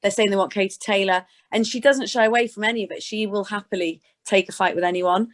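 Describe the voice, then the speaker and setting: A woman's voice: mid-range voice, steady tone, quick pace. Chatty British woman in casual interview. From Scotland and Gloucestershire, England. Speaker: interview guest, sports presenter.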